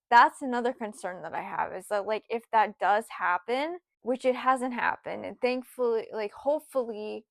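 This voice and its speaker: A woman in her twenties, rambling through a casual personal story with lots of fillers, her voice sometimes dropping soft. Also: mid-range voice, gravelly, dry recording, studio quality. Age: 20s. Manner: rambling, lots of "like" and "you know", voice drops soft at times. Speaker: vlogger.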